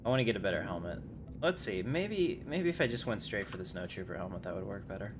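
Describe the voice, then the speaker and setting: A man's voice: on the low side, expressive, quick pace. A man giving casual, chatty game livestream commentary, rambling along in slangy talk. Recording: clearly noisy livestream audio.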